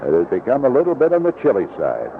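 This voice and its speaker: A man's voice: mid-range voice, huge pitch swings, quick pace. A man calling live baseball play-by-play on the radio in clipped, descriptive phrases. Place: outdoor ballpark broadcast booth. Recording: noisy lo-fi 1970s AM radio broadcast.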